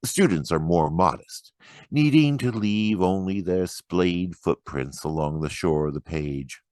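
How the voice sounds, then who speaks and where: A man recites poetry in a deep register with unhurried, deliberate phrasing. His delivery is dramatic and expressive, captured close and dry. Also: no echo. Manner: expressive, deliberate, acts out quoted remarks. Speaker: poetry reader.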